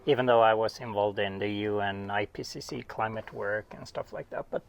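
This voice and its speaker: A man's voice: fairly low pitch, steady tone, fairly quick, vocal fry, slight background noise. A middle-aged man from Sweden with a Swedish accent, a guest oceanographer chatting casually and self-deprecatingly, recorded in a small cabin. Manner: rambling, self-deprecating, with laughs and restarts. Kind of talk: casual interview chat.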